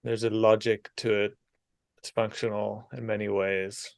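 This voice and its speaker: Slow, smooth-voiced man guiding meditation. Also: fairly low pitch, level tone, no room sound. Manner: soothing, unhurried, with long pauses between short phrases. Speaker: meditation guide, therapist. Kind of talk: guided meditation.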